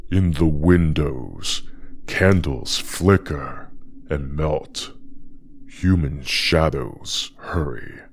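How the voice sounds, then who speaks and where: A man's voice: very deep voice, very slow, slight background noise, exaggerated voice. A man voicing an ancient, menacing spirit in an audio drama, speaking in an ominous, archaic, poetic manner. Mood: slow menace. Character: ancient predatory spirit, slow, menacing, hungry for fear. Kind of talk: scripted audio drama monologue.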